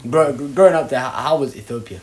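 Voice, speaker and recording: A young man from Somalia, hosting a casual podcast chat with animated, laughing banter. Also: medium pitch, fast talker. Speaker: podcast host. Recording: echoey room with faint noise.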